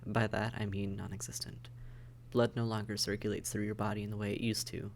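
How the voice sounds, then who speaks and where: A man's voice: on the low side, flat delivery, fairly quick, vocal fry, slight background noise. A man playing a weary vampire intake officer in an audio roleplay, matter-of-fact and bureaucratic, with dry hesitation, speaking straight to the listener. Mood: clinical, matter-of-fact. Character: weary, businesslike male vampire intake officer mentoring newcomers. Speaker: medical examiner and caseworker.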